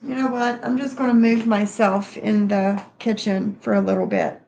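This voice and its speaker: A woman's voice: fairly high pitch, steady tone, moderate pace, darkish tone, highs cut off, a little hiss or hum. A middle-aged woman with a stuffy nose, chatting casually on a home livestream and greeting viewers by name. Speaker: livestream host. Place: bedroom at home, then kitchen.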